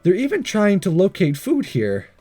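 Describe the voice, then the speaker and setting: High-pitched, animated man reading game dialogue. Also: moderate pace. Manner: playful, theatrical. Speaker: let's play host. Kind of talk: reading game dialogue aloud with joking asides. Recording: game music behind.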